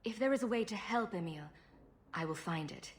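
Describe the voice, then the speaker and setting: A woman's voice: mid-range voice, animated, brisk, natural voice. A woman playing a confident, sardonic champion adventurer in a video game. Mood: assured. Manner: sardonic, self-assured. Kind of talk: video game cutscene acting.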